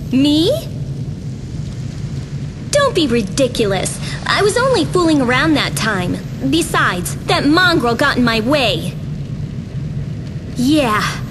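A woman's voice: sits high, big pitch swings, slow, pauses often, noisy background, full character voice. A woman voicing a bratty, arrogant young girl villain in a video game, with a taunting, haughty manner. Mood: boastful. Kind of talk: video game cutscene acting. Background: music.

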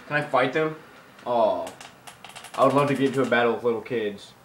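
A man's voice: mid-range voice, fairly quick, a little hiss or hum. A young man giving casual, goofy game playthrough commentary, recorded on a camera in a room in front of a TV. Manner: goofy, makes nonsense sounds and sing-song. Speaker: gaming video host. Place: indoor room in front of a television. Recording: roomy, picked up near a TV playing music. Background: game music from the TV.

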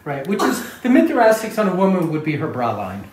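A man's voice: high-pitched, huge pitch swings, fast talker, darkish tone. Middle-aged man, chiropractor, talking a client through hands-on treatment step by step; echoey room, faint noise. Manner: instructive, step by step. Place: small indoor treatment room. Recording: roomy echo, faint background noise.

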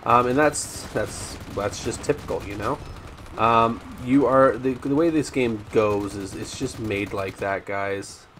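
A man commentating over his own gameplay, chatty and rambling with ums and restarts, his voice slightly raspy today. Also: mid-range voice, a little slow, a bit bright, a little hiss or hum. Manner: rambling, frequent ums and restarts, addresses viewers as guys. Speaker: gaming YouTuber.

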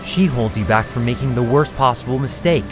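An actor playing a solemn, calm young mystic warrior, earnest and measured, in a fan film drama. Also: male voice, medium pitch, over music, close to an everyday voice.

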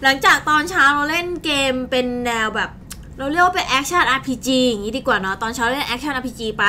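A young woman with a high-pitched voice gives casual gameplay commentary as a channel host. She talks in a chatty, informal way as she plays. There is clear noise in the recording. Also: bright, forceful tone. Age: young adult. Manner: chatty and informal, exclaiming in play. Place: indoor streaming setup at a desk.

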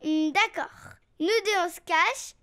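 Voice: extremely high pitch, slow, exaggerated voice. A performer voicing a bossy, pouty little girl bear cub in a preschool cartoon, childlike and know-it-all. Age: child character. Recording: faint music behind the voice.

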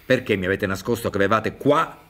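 A man acting in a radio drama as a concerned village doctor, polite, measured and questioning. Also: lowish voice, a bit bright, lightly acted. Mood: probing. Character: concerned, courteous village doctor, calmly probing.

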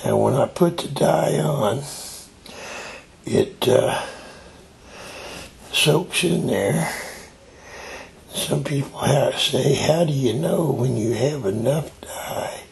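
Slow, gravelly older man demonstrating a craft. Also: medium pitch. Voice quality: raspy. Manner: unhurried, explaining while he works. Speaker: tie-dye hobbyist demonstrating.

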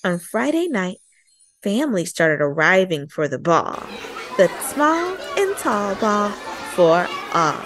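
A woman reading a children's picture book aloud expressively, acting out the dialogue in an animated way. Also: mid-range voice, slow pace, a faint crowd, a little hiss or hum. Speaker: storytime host and reader.

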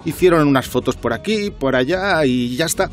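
A man's voice: on the high side, animated, moderate pace, soft background music, close to an everyday voice. An actor playing a chatty, observant, slightly self-important doorman in a radio drama, talking colloquially with a repeated verbal tic. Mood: insistent, confiding. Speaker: building doorman.

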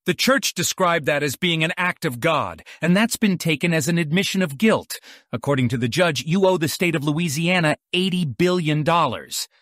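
A man's voice: a little high, animated, normal speed, a bit bright, no room sound. Sly archangel, acted by a man, very dry recording. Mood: slyly respectful. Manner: mock-deferential. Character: sly, irreverent male archangel, smoothly obsequious. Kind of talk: audiobook character acting.

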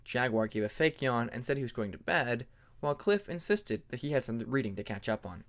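A man's voice: medium pitch, animated, a little fast. A man reading a scripted fantasy story as an audiobook narrator.

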